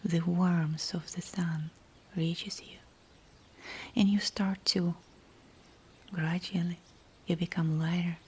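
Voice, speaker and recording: A woman guiding a scripted visualization meditation in a breathy, soothing whisper, with hushed phrases and pauses between them. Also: on the low side, slight background noise. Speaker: meditation guide.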